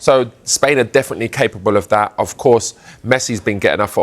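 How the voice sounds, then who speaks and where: A man giving measured football punditry on a broadcast clip, correcting himself as he goes. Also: fairly low pitch.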